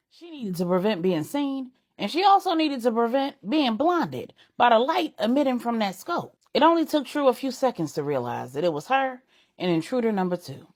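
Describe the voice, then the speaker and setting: A woman storyteller reading a dramatic scripted story, phrasing it deliberately in short units. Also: a little high. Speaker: storyteller, narrator.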